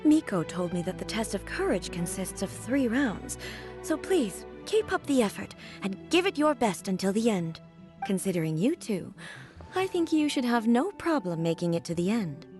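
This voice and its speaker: An actress playing a composed, gentle and courteous young noblewoman, speaking politely and formally in an English video game dub. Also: medium pitch, big pitch swings, normal speed, natural voice. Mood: calm, encouraging. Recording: music behind the voice.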